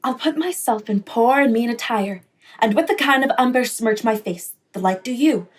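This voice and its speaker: An actress plays a devoted young noblewoman in a Shakespeare radio drama. Her voice is moderately high. She speaks formal lines with earnest, resolute feeling. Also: expressive, moderate pace. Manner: earnest, formal verse delivery. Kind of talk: Shakespearean radio play acting.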